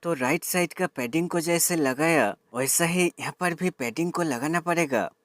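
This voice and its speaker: A man's voice: a little high, moderate pace, fairly bright tone, no room sound. A man narrating a step-by-step product tutorial in an instructional way, addressing viewers as friends and mixing in English words. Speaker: tech reviewer giving an installation tutorial.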